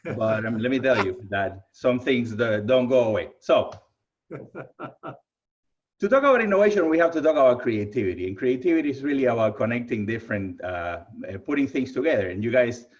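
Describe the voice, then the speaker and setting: A man's voice: medium pitch, expressive, normal speed. A man from Cuba with a heavy Cuban Spanish accent, giving an enthusiastic keynote presentation over a video call. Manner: enthusiastic, friendly, addresses audience as "you guys". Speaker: keynote speaker. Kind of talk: virtual presentation talk. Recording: muffled lo-fi video call audio.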